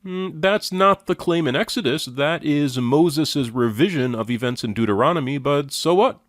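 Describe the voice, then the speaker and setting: Skeptical man with a higher, dry-recorded voice. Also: lively intonation, moderate pace, dry recording. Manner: skeptical, sardonic, challenging. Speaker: commentator reviewing and rebutting a lecture. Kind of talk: critical reaction commentary.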